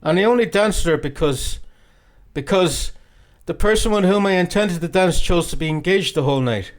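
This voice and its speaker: A man's voice: high-pitched, moderate pace, pitched above the performer's own voice, close to an everyday voice. A man voicing a boastful, jealous fifteen-year-old boy in an audiobook, indignant and blustering. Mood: jealous, sulky. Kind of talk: audiobook character acting.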